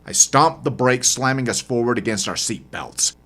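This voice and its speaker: A man's voice: medium pitch, normal speed, fairly bright tone. A man narrating a first-person novel as its author, in a dramatic audiobook style.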